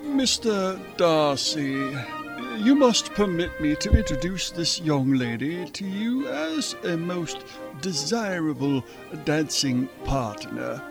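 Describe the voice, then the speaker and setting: A fussy older gentleman for a radio play. His high voice drawls slowly and theatrically, over prominent music. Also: very animated, vocal fry, heavily acted. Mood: pompous courtesy. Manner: slow, drawn-out, theatrical delivery. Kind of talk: old-fashioned radio drama acting.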